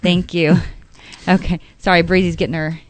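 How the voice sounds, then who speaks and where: एक बातूनी महिला रेडियो होस्ट, धीमी गहरी और साफ़ आवाज़, सूखी स्टूडियो ध्वनि।. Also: female voice, fairly low pitch, level tone, normal speed, no echo. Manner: chatty, laughs often, many fillers. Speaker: radio show host. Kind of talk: casual radio talk show.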